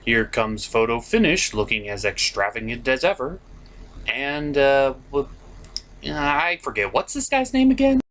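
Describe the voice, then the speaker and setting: A man's voice: mid-range voice, sing-song, measured pace, a bit bright, slight background noise. A man hosting a comedic cartoon review, partly scripted and partly ad-libbed, with a sarcastic, theatrical delivery. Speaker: host of a cartoon review show.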